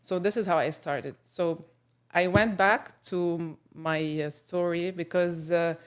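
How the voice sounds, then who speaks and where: A middle-aged woman from Lebanon, guest on an interview podcast, speaking thoughtfully with many pauses and fillers. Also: on the low side, steady tone, smooth. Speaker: guest photographer. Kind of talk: casual interview conversation.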